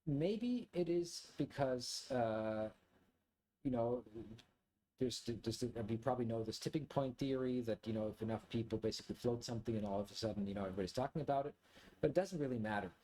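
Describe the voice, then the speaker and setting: A man from Germany, a native German speaker, giving a casual, reflective monologue to viewers in a rambling, halting way as he thinks aloud. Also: medium pitch, expressive, dark tone, poor audio. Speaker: video creator, commentator.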